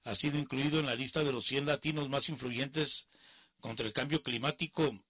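A man's voice: mid-range voice, fairly even intonation, normal speed, a bit bright, slightly rough, dry recording. A man reading news items aloud and breaking in with mocking, opinionated asides. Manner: mocking, opinionated asides between read passages. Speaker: news commentator. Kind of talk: reading news with casual commentary.

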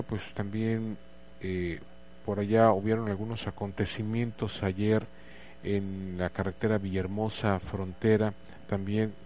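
A man's voice: on the low side, darkish tone, slight background noise, rough recording. A man with a Mexican Spanish accent hosting a morning radio show, chatting casually, reading listener messages and news with many fillers.

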